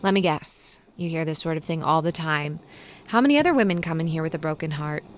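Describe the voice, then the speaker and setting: A woman's voice: sits low, brisk, natural voice. A woman voicing a guarded, wry young woman in her twenties.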